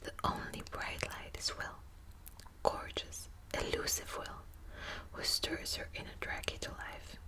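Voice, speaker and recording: A woman whispering close to the mic in an ASMR book video, hesitant with many ums and restarts, also reading passages aloud. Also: unhurried. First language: Dutch. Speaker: ASMR creator.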